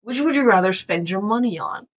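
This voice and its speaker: A woman's voice: medium pitch, lively intonation, a little fast, highs cut off. A woman reading out questions and chatting casually on a podcast. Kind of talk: casual question-and-answer chat.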